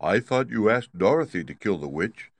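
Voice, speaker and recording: A man voicing a lovely winged lady ruler who speaks in a cool, measured, imperious way in a storybook reading. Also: lowish voice, animated, gravelly, breathy edge, no echo, exaggerated voice.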